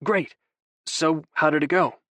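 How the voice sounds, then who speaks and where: A man's voice: on the high side, unhurried, fairly bright tone, no echo, clean studio sound, pitched above the performer's own voice. A man voicing a bossy young man who lectures his brother in a pointed, know-it-all way. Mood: lecturing.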